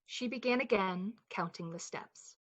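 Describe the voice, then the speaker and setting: A woman playing the narrator of a suspense radio play, speaking in a measured, dramatic storytelling style with a slightly husky voice. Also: medium pitch, a bit bright. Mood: tense, hushed.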